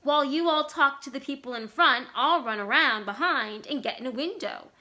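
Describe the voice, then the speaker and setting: Storybook boy, acted by a woman: high-pitched, loud, bright, pushy and eager. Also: moderate pace. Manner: pleading, eager shouting.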